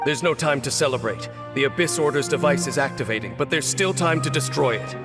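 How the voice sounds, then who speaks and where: An actor playing a stoic, weary warrior captain in a video game, speaking in a grave, formal, measured way. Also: male voice, a little high, close to an everyday voice. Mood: stern, urgent. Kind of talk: dramatic video game voice acting. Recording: loud music under the voice.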